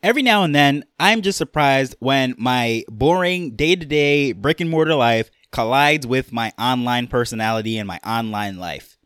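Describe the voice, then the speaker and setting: A man's voice: mid-range voice, expressive, moderate pace, fairly bright tone, clear, resonant tone, no echo. Chatty New York-accented man, dry sound. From Jamaica, raised in New York. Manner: conversational, rambling with fillers and restarts. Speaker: podcast host. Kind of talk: casual solo podcast monologue.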